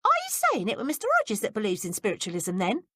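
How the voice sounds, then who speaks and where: A woman voicing a clever, nosy young housemaid who asks eager, high-pitched questions. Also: huge pitch swings, fast talker, pushed and bright, slightly rough, no room sound, clean studio sound, full character voice. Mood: probing.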